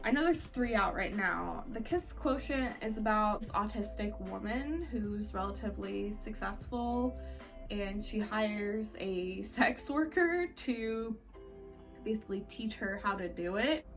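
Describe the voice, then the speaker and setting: A woman's voice: mid-range voice, lively intonation, measured pace. Casual chatty woman, music behind her. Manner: informal, conversational, frequent filler "like". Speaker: book reviewer vlogger. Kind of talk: casual chatty monthly reading wrap-up. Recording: distant mic with music behind. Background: background music.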